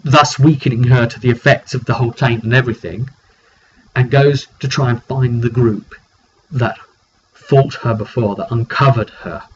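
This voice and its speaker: A muffled, noisy recording of a man working as a tabletop game master. He tells the fantasy story informally but with drama, leaning on repetition for emphasis. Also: medium pitch. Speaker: game master recapping a roleplay session. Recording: dull lo-fi recording, clear background noise.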